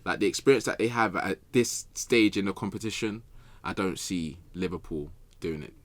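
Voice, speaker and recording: A man's voice: deep voice, normal speed, dry recording. A man giving his take as a football podcast pundit in casual, slangy speech, often repeating phrases for emphasis. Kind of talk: casual sports chat.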